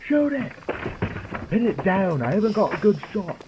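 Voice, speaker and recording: A man voicing a jumpy, complaining low-ranking guard in an audio drama, with an agitated, whining manner. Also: sing-song, normal speed, lo-fi sound, noisy background. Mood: alarmed, shouting.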